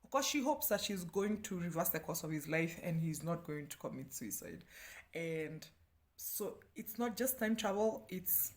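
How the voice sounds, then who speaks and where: Female book reviewer, 30s, Kenyan accent. Slightly low register, chatty, candid delivery with playful self-mockery. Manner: animated, candid, self-deprecating, with playful asides.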